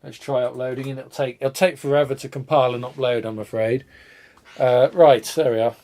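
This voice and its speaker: A man's voice: mid-range voice, fairly even intonation, brisk, mellow. A man, congested from a cold, chatting casually and rambling as he tinkers with hardware on a live stream, poking fun at his own disorganisation. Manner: rambling, self-deprecating, thinks aloud while tinkering. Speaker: retro computer channel host.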